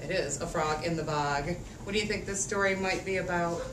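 A woman teacher reading a picture book aloud to young children, expressive and rhythmic, drawing out phrases and asking them questions. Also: on the low side, fairly quick. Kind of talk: interactive storybook read-aloud. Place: echoey room with a group of children. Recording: echoey room, distant mic, faint noise.

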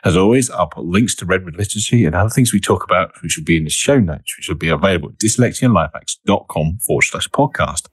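A man with a very low, husky voice hosts a podcast interview. He talks in a friendly, chatty way. The sound is dry and close to the mic. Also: expressive, fast talker, hardly any pauses, no echo. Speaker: podcast host.

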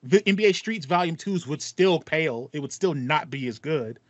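A man's voice: on the high side, expressive, normal speed, no echo. A man in his thirties chatting casually as a podcast co-host, stressing points emphatically by repeating himself. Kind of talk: casual video game chat. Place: small home room with foam panels, video call. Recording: slightly dull, band-limited audio.

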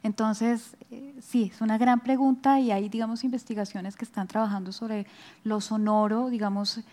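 A Colombian woman speaks as a guest lecturer taking questions. Her voice is fairly high and soft-edged, and she explains things as she thinks them through, on a headset mic. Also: steady tone, normal speed. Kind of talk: answering audience questions after a lecture. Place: stage of a large auditorium.

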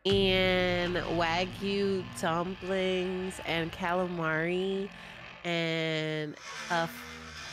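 A congested woman with a nasal voice, chatting with friends during a game, drawling slowly. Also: fairly low pitch. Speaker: guest gamer on call. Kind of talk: casual chat.

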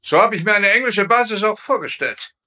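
An actor playing a pompous, indignant German field marshal, haughty and commanding, in a comedy dub. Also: male voice, sits high, animated, fairly quick, pushed and bright, heavily acted. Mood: indignant, boastful. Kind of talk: dubbed TV sitcom acting.

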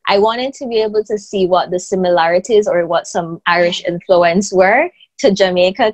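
A young woman hosting a casual interview over a video call, upbeat and laughing often. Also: mid-range voice. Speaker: host. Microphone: earphone mic on a video call. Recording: dull lo-fi video call audio.

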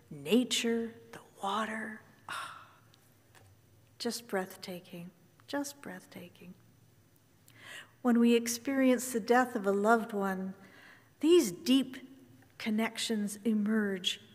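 A woman minister giving a gentle, reflective funeral sermon from the pulpit in a large church. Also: medium pitch. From Canada. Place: large wooden church sanctuary with choir. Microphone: pulpit microphone from far camera. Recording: long church reverb.

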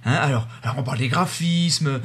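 A man's voice: medium pitch, brisk, fairly bright tone, breathy edge. A man playing out a comic phone conversation in a casual, hesitant, rambling way. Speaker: radio show host. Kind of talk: comedy sketch phone conversation.

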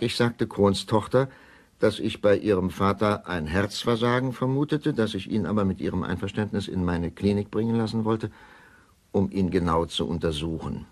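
Deep, gravelly troubled doctor. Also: male voice. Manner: grave, measured, deliberate. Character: troubled male doctor recounting a suspicious death. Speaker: narrator. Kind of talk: first-person radio drama narration.

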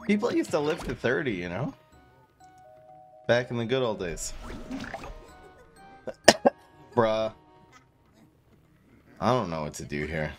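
A man's voice: on the low side, big pitch swings, slow, pauses often, over music. Chatty man streaming a card game. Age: 30s. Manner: chatty, self-mocking, reads chat aloud. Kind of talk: casual gameplay commentary. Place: small room indoors.

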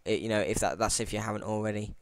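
Man, tutorial host, rambling, lots of ums and restarts, smooth clear voice, dry close sound. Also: on the low side, steady tone, quick pace, no room sound. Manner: self-deprecating. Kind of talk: casual screen-recording tutorial.

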